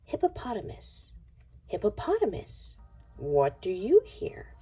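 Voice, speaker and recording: Casting: female picture-book reader, extremely slow and very mellow, sing-song, sometimes near whispering. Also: medium pitch, huge pitch swings, slightly rough. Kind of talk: expressive picture-book read-aloud for young children.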